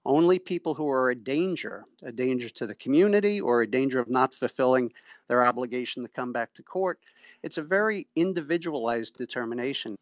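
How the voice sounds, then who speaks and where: A middle-aged man giving measured, formal and emphatic explanations as an expert guest in an interview. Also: mid-range voice, animated, no echo, highs cut off. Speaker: interviewee, pretrial services official and former prosecutor. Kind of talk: explanatory radio interview answers.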